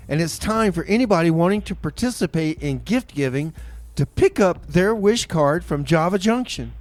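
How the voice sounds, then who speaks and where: A man hosting a community radio show with an easygoing, chuckling delivery in a radio studio. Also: a little high. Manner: easygoing, chuckling, amused by his co-host. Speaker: radio DJ and host. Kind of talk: casual radio chat and announcements.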